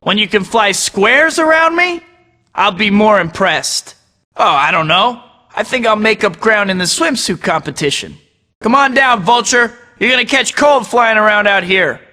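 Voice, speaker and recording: Quippy young game superhero, teasing, bright tone, slightly high. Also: male voice, lively intonation, moderate pace, long reverb tail. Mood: taunting. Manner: sarcastic, teasing. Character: wisecracking young male superhero, cocky and joking. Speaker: video game hero voice.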